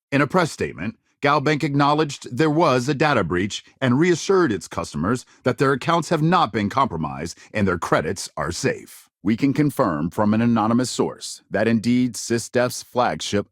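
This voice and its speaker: An earnest male anchor for a sci-fi game's news network. His pitch moves in lively sweeps as he stresses key words with polished broadcast drama. The sound is dry and close, with no room to it. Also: no echo. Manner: emphatic, dramatic newscaster phrasing. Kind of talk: scripted broadcast news delivery in a video game.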